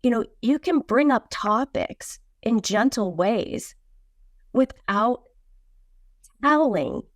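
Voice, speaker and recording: A woman answering as a guest author in a reflective interview style, deliberate and pausing between phrases. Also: on the high side, animated, dry recording, studio quality. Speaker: guest, children's book author.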